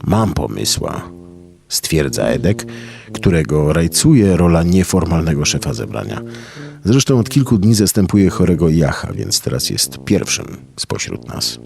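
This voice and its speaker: A man narrating a story in rough, slangy working-class speech. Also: a bass voice, big pitch swings, unhurried, darkish tone, faint music behind. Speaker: narrator and solo performer of a radio story. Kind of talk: dialect-heavy storytelling with acted voices.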